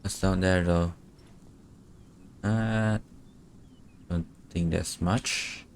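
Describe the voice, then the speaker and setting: A man's voice: low-pitched, fairly even intonation, very slow, a little hiss or hum. A man streaming a video game, giving casual, reactive commentary as he mutters to himself and swears now and then.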